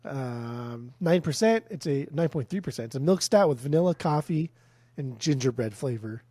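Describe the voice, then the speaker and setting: A man's voice: mid-range voice, expressive, moderate pace, mellow, dry recording. A man with a stuffed-up nose co-hosting a casual podcast, rambling and swearing freely. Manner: rambling, swears a lot, mock-angry joking. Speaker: podcast co-host and record store owner. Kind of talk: casual chat. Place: room at home. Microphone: desk microphone on a mixer.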